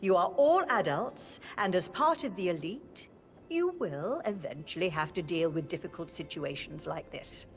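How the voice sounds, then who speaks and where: Cold bossy matriarch, lowish voice, formal. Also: female voice, lively intonation, moderate pace. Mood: stern. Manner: stern, formal, imperious. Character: cold commanding elderly matriarch. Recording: game audio with music behind.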